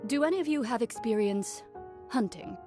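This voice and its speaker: A woman's voice: fairly high pitch, lively intonation, unhurried, music in the background. A woman voicing a matter-of-fact, businesslike officer in a video game, direct, dry and polite.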